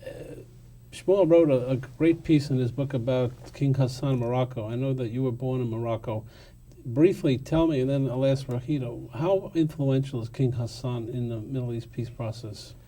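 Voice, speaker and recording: A man's voice: medium pitch, moderate pace, mellow, poor audio. A man hosting a panel discussion in an informal way, often jumping in with short interjections. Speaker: talk show host.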